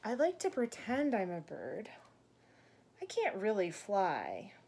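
A woman in her thirties reading a picture book aloud to young children, gently explaining and asking questions as a teacher does. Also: medium pitch, huge pitch swings, slow pace. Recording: clear background room noise.

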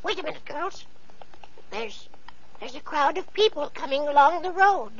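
A woman's voice: high-pitched, animated, normal speed, fairly bright tone, muffled recording. An actress playing a scheming, bossy mother in an old radio play, bossing her daughters about. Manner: bossy, fawning to royalty. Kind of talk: 1940s radio acting.

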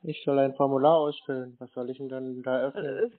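Deadpan man playing dumb over phone. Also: mid-range voice, brisk. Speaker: call recipient pranking a scammer. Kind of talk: prank phone call. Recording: tinny phone line.

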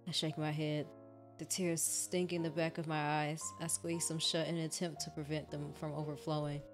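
A woman acts out a terrified victim narrating a horror visual novel. The voice is low, halting and fearful, heavy with guilt, over clear background music. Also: level tone, close to an everyday voice. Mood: frightened.

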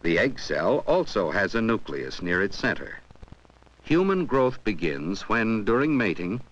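Deep, expressive male narrator. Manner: measured, explanatory, poses questions to the viewer. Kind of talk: scripted educational film narration. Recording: dull 1970s 16mm film soundtrack.

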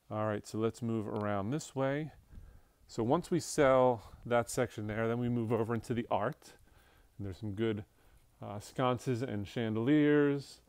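A man's voice: lowish voice, a little mellow, dry recording, clean studio sound. A man from an auction house walking through a showroom, casually listing furniture and lots as he goes. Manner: offhand, listing items as he walks. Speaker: auction house staff giving a preview walkthrough. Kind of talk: casual walkthrough narration. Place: large indoor auction showroom full of furniture. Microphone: handheld phone camera, speaker off screen.

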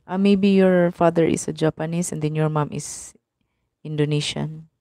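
A young woman with a Filipino accent, hoarse from a cough, greeting viewers one by one on a live stream through a mic held at her mouth. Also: low-pitched, steady tone, mellow, no room sound, clean studio sound. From Philippines. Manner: slow, greeting viewers by name. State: husky from a cough. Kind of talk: live stream chat reading viewer comments. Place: small room indoors. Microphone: clip-on mic held at the mouth.

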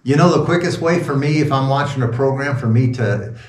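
A man's voice: mid-range voice, a little fast. Older guy preacher in his 60s, rambling and sarcastic, echoey room, kinda far from the mic. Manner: sarcastic, rambling, chuckling asides. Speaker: pastor. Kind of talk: informal sermon talk with asides. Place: small wood-paneled room indoors. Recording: roomy echo, distant from the mic.

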